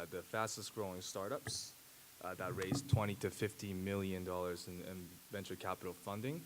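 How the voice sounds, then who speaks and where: Casting: native Japanese man, 30s, low voice, hesitant stage speaker, faint hiss. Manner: halting, many fillers and restarts. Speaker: conference speaker. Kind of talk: conference keynote talk. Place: large dark auditorium stage. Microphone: handheld mic at a podium.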